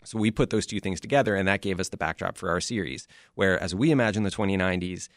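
A man's voice: low-pitched, quick pace, no echo, studio quality. A man speaking as an interview guest, explaining his creative work in a conversational way. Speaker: interview guest, audio drama producer.

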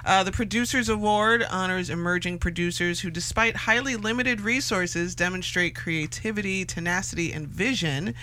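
Female radio host, crisp bright edge, presenting award lists, slight background hum. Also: on the low side, normal speed, few pauses. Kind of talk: radio hosting, reading out award categories.

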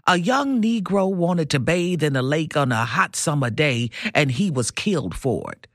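Stern, commanding woman activist of the 1910s, radio play, insistent interrogator with very animated intonation. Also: low voice, normal speed, no echo, studio quality. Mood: grave, indignant. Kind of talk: radio drama acting.

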